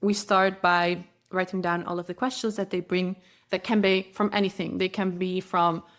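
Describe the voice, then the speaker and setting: A woman's voice: on the low side, little pitch movement, moderate pace. Spanish-native woman explaining spiritual practice. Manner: earnest, flowing explanation with frequent restarts. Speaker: spiritual guide, interview guest. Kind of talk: podcast interview.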